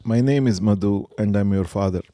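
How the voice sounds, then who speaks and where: A middle-aged man, answering family interview questions in a measured, reflective way. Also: on the low side, warm tone, no echo. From India. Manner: measured, reflective, some hesitations. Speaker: interviewee, stepfather.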